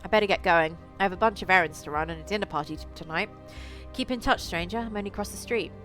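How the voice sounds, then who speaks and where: A woman's voice: fairly low pitch, fast talker, lightly acted. A woman reading a teasing, breezy childhood friend. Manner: playful, familiar, wry. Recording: music behind the voice.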